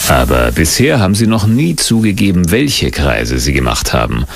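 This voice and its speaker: A man's voice: sits low, big pitch swings, moderate pace, gravelly, faint music behind. A man narrating a documentary from a script in a dramatic, suspenseful manner.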